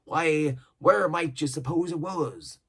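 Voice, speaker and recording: A man's voice: on the high side, animated, takes it slowly, fairly bright tone, breathy edge, voice pushed up, heavily acted. A man voicing a scheming older pirate cook who talks in a coaxing, boastful seaman's way.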